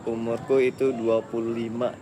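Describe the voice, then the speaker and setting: A man's voice: medium pitch, flat delivery, moderate pace. Live streamer: young man, casual chat style, recorded outdoors with noticeable ambient noise. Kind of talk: casual chat, reading live comments aloud. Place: outdoors at a busy tennis court. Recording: noisy outdoor spot, traffic-like background.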